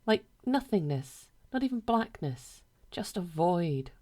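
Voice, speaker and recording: A woman's voice: lowish voice, sing-song, slow pace, warm tone, full character voice. A woman voicing a newly dead female ghost in a story reading, wistful and questioning.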